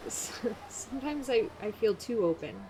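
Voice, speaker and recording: An actress playing an emotionally open, fragile member of a self-help group, hesitant and natural in an audio drama. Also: female voice, a little high, animated, moderate pace, noisy background, lightly acted. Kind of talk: naturalistic audio fiction acting.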